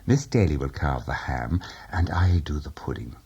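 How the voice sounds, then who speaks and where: A man voicing a lively young wife, chatting in a quick, confiding way. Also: a bass voice, breathy edge, lo-fi sound, voice pushed down, exaggerated voice.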